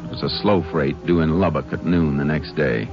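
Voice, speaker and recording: A calm Texas Ranger with a very low, laconic drawl. The sound is muffled, lo-fi old radio, with music often playing underneath. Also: male voice, fairly quick. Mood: narrating. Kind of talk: 1950s radio drama acting and narration.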